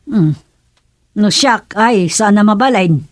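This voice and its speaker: A woman's voice: medium pitch, lively intonation, slow, dry recording, studio quality. An actress playing a scolding, suspicious middle-aged mother with a Filipino Ilocano accent, nagging and exclaiming in a radio comedy drama. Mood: grumbling.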